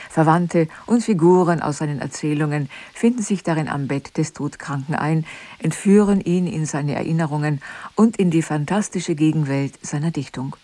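A woman's voice: deep voice, warm tone, no echo. A woman reading a scripted broadcast introduction to a radio play in a composed, formal manner.

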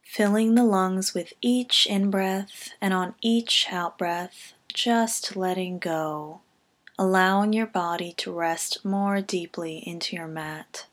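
Female meditation guide: medium pitch, gentle and composed, carefully measured scripted read with softly landed phrases. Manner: calm, gentle, measured delivery with soft phrase endings.

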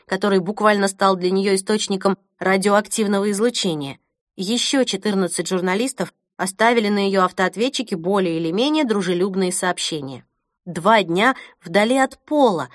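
Woman, audiobook narration, medium pitch, dry close sound. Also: no echo. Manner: measured, even reading. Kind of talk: scripted novel narration.

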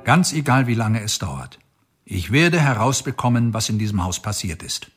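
A man's voice: lowish voice, sing-song, moderate pace, fairly bright tone, slightly rough, faint music behind, full character voice. An actor playing a determined male state investigator in a radio play, with a grim, firm delivery.

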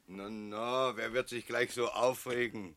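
An actor playing a fat, smugly teasing village baker in a radio play, with an Austrian accent. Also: male voice, mid-range voice, fairly even intonation, unhurried, a bit bright, close to an everyday voice. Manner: teasing, comfortable, mocking. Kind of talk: German radio play acting.

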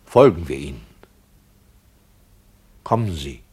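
A man's voice: very deep voice, expressive, takes it slowly, darkish tone, husky, full character voice. A man playing an indignant, argumentative radio play director, articulate and emphatic, in German radio drama style. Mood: hushed, intent.

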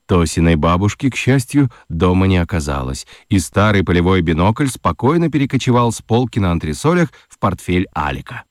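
Deep voiced guy narrating a kids radio story, really animated, dramatic pauses, dry studio sound. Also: no echo. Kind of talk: expressive scripted storytelling for children's radio play.

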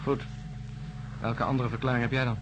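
Actor as seasoned brisk astronaut, noisy cabin. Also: male voice, medium pitch, level tone, highs cut off, close to an everyday voice. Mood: wry, argumentative. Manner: brisk, matter-of-fact, wry. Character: seasoned, brisk male astronaut in charge aboard spacecraft. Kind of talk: science fiction radio drama acting. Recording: noisy drama recording with cabin ambience.

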